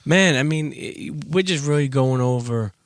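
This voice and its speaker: A man's voice: medium pitch, normal speed, no echo. A man hosting a casual interview podcast, colloquial and talking straight to his listeners. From Brooklyn, New York. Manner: colloquial, addresses listeners directly. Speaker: podcast host.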